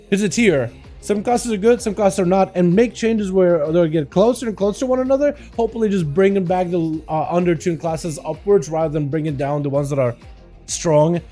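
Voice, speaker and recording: Excitable high-voiced man with slight Russian accent. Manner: fast, excitable play-by-play that turns to loose rambling chat. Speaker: streamer and game commentator. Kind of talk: excited live game commentary mixed with casual chat.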